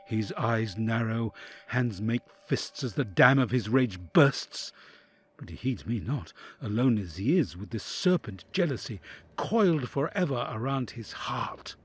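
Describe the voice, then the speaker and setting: A man's voice: mid-range voice, animated, measured pace, breathy edge. A man playing a troubled, fearful and sympathetic envoy in an audio drama, delivering an intense, reflective interior monologue in theatrical style. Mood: dread. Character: troubled male envoy, sympathetic, fearful, inwardly agonised listener.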